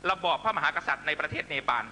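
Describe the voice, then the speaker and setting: A man's voice: a little high, animated, racing, sharp tone, soft background music. A man delivering a fiery political speech to supporters, accusatory and emphatic, constantly pressing listeners with rhetorical questions. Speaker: political rally speaker.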